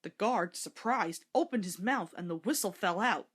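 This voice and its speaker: A woman's voice: medium pitch, expressive, moderate pace, husky. A woman reading a scripted children's story aloud as narrator.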